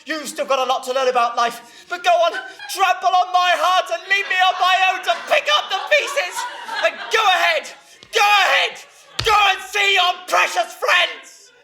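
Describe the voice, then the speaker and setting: A man's voice: very high-pitched, level tone, a little fast, hardly any pauses, pushed and bright, roomy sound, faint music behind, some chatter behind. A man voicing a self-pitying, indignant older mother in a melodramatic, wounded way during a stage monologue. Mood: outraged, martyred.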